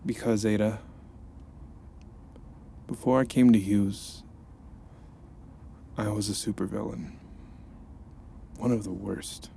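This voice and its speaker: An actor playing an earnest reformed supervillain turned counselor, speaking gently and encouragingly while narrating an audio drama. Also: male voice, fairly low pitch, fairly even intonation, takes it slowly, creaky, long pauses, slight background noise. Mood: reflective.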